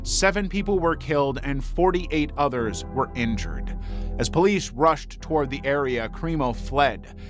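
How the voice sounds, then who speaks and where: A man reading scripted true crime narration in a measured, dramatic way with deliberate pauses. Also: on the high side. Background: background music.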